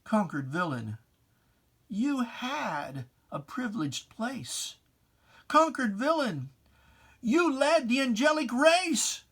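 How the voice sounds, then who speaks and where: A reader giving a solemn, theatrical recitation of religious verse, with drawn-out phrasing. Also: mid-range voice, very animated, fairly bright tone, husky. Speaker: poetry reader.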